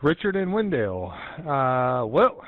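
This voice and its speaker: A man giving a casual, halting, meandering update as an amateur radio operator on a net. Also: mid-range voice, big pitch swings, dry recording. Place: home office. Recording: dull narrowband internet radio link.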